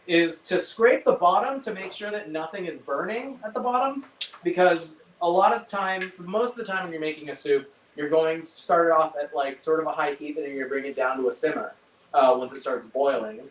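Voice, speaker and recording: A man with a slightly high voice talks through cooking steps in an informal, think-aloud way. He sounds like a chef leading a casual cook-along. He is a bit away from the mic in an echoey room, with faint noise. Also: fairly quick, distant mic. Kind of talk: casual live cooking instruction.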